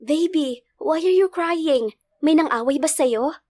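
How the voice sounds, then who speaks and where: A woman voicing an emotional young mother in a storybook narration, gentle, protective and pleading. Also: a little high, fairly even intonation, normal speed, no room sound, lightly acted. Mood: soothing.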